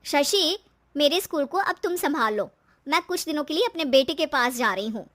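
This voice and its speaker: A woman's voice: sits high, expressive, normal speed, fairly bright tone, voice pushed up. A woman voicing a kindly, confident older school owner, encouraging and emphatic. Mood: insistent.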